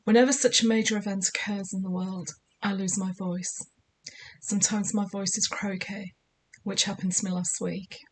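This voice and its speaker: A woman with a slightly croaky voice giving spiritual guidance in a measured, soothing, deliberate way. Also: mid-range voice, flat delivery, a little hiss or hum. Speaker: spiritual guide. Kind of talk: scripted spiritual guidance talk.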